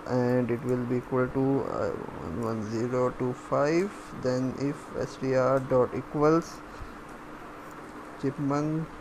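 A man giving a coding screencast tutorial, dictating step by step in short, halting phrases. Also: mid-range voice. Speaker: programming tutorial instructor. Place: voice-over for a screen recording. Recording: clear steady background noise.

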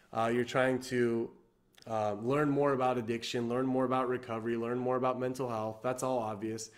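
A man in his thirties, from Iran, giving an earnest motivational talk to camera from his living room, repeating himself and restarting often. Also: medium pitch. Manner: earnest, repetitive, many fillers and restarts. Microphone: webcam or laptop camera at desk.

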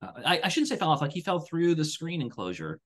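Man with a lively, swinging pitch. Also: fast. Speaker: podcast co-host. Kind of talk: casual anecdote chat. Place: indoor room on a video call.

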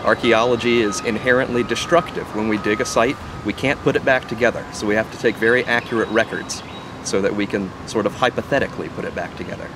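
A man's voice: lowish voice, fast, background noise. A man in his thirties, an archaeologist, explaining his work in an outdoor interview. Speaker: archaeologist, surveyor. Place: outdoors by a wooden fence and trees.

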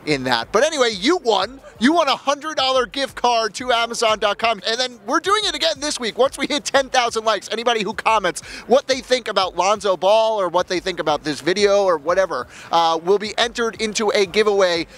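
A man's voice: high-pitched, animated, a little fast, few pauses, fairly bright tone. A young man hosting casual, joking street interviews into a handheld microphone. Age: young adult. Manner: joking, banter-heavy. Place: busy city street at night. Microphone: handheld reporter microphone.

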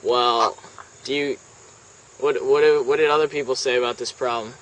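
A teenage male making a deadpan prank phone call in a halting, straight-faced way, recorded outdoors at a table. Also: medium pitch. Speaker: prank caller. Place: outdoors on a house's back deck. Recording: noisy outdoor camera audio, insects, traffic.

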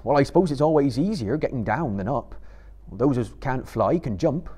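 Man reading a grumpy country hobbit, stubborn, gets loud and bouncy. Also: mid-range voice, expressive, normal speed, breathy edge, voice pushed up. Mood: grumbling, stubborn. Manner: grumbling, rustic turns of speech. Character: gloomy, stubborn male hobbit servant, rustic.

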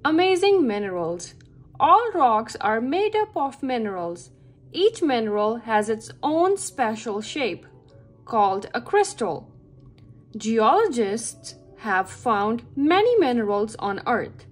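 High-pitched woman reading slowly to kids. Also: very animated, faint music behind. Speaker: read-aloud reader for children. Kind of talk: slow read-aloud of a children's science book.

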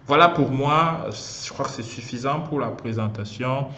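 A young man from Cameroon, hosting a casual livestream and talking in a halting, chatty way as he calls out to viewers over a headset. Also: medium pitch, bright, forceful tone. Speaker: host of a student livestream. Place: small indoor room. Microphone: headset on a video call. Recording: muffled video-call audio, faint hiss.

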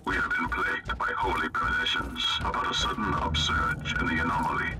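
An actor playing a fervent priest warning of doom in a video game, dramatic and portentous. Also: male voice, little pitch movement, fairly quick, low-quality recording. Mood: grave, foreboding. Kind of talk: scripted game dialogue. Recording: very loud game music and rumble behind.

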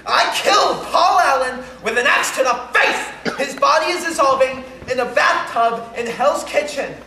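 A man's voice: very high voice, expressive, normal speed, exaggerated voice. A man performing a stage monologue as an unhinged, panicking murderer confessing by phone, stammering and breaking into nervous laughter. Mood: manic, frantic. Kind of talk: theatrical monologue as a one-sided phone call. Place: large room at a banquet, recorded on camcorder. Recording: echoey hall, loud noisy camcorder audio.

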